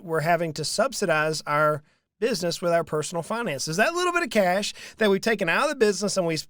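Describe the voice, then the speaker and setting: A man in his thirties talks through money advice, pitched fairly high for a man. He speaks earnestly and leans on repeated phrases for emphasis. His voice sits close on a studio mic with a dry, dead sound. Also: fairly bright tone, no room sound. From Southern United States. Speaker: financial advisor hosting a show. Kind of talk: solo talk to camera. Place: small room with dark curtains. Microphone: large studio mic in front, headphones.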